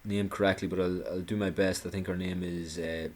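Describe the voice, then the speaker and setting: Man, deep voice, sarcastic commentator delivering an indignant monologue with stumbles and restarts. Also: flat delivery, fairly quick. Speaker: political commentator.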